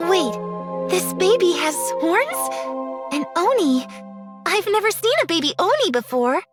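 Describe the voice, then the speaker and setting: An actress voicing an eager, big-hearted young girl in an excitable anime game style. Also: very high-pitched, unhurried, heavily acted. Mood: surprised. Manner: excitable, bright, exclamatory. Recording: loud music behind the voice.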